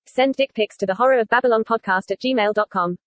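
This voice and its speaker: A woman reading the scripted outro of a podcast in a brisk manner. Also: on the high side, no echo. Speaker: announcer.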